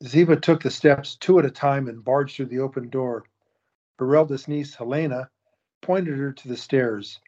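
A man narrating an audio drama in a steady, measured way. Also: medium pitch, lo-fi sound.

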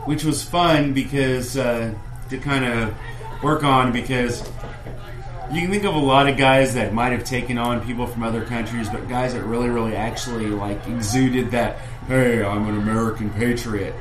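A man's voice: medium pitch, fairly even intonation, normal speed, roomy sound, rough recording. A man co-hosting a casual wrestling fan podcast, rambling and restarting his sentences as he explains things at length. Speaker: podcast co-host. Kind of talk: casual chat among fans.

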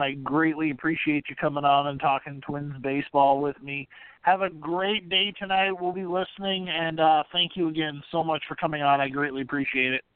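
A man's voice: on the high side, smooth, dry recording. A man hosting a casual sports podcast, talking conversationally. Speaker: podcast host. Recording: dry, narrow phone-like sound.

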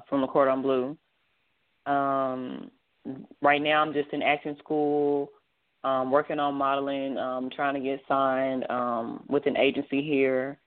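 A woman in her twenties from Texas, answering interview questions over the phone and pausing often on ums. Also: extremely low pitch. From Dallas, Texas. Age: 20s. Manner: hesitant, many ums, soft-spoken. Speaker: guest model. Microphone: phone line. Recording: tinny phone line.

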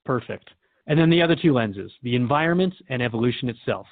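Man acting as radio interviewer, mid-pitched, inquisitive, courteous, conversational, audio drama, dry dull recording. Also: expressive, fast, dry recording, close to an everyday voice. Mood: inquisitive, agreeable. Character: male radio host, curious, polite interviewer. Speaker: radio host.

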